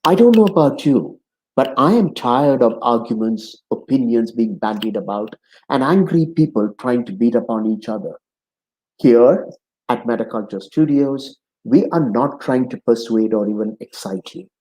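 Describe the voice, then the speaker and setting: A man in his sixties hosting a conversation show, speaking in an earnest, measured way from his home office. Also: mid-range voice, expressive, warm tone, poor audio. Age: 60s. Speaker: host. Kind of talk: hosted conversation opening monologue.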